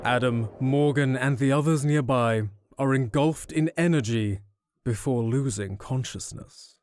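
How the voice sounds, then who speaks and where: A man's voice: mid-range voice, a little slow, darkish tone, no room sound. A man reading scripted narration for an audio drama.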